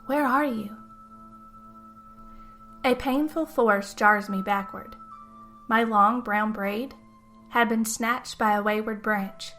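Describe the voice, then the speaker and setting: A woman's voice: medium pitch, steady tone, takes it slowly, a bit bright, faint music behind. A woman narrating a scripted story in the first person as a podcast host and storyteller.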